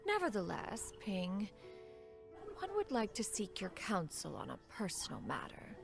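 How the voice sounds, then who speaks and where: A woman's voice: medium pitch, wildly swooping pitch, measured pace, vocal fry, over music, full character voice. A woman voicing a proud, aloof, ageless immortal in a game, speaking in a stiffly formal, archaic way. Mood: formal, hesitant. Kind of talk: scripted game dialogue.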